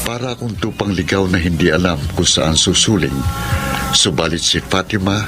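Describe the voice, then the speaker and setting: A man's voice: low-pitched, moderate pace, music in the background, lightly acted. A man with a Filipino accent playing an anguished young man torn between two women, earnest and pleading in a melodramatic radio drama. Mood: earnest, heartfelt.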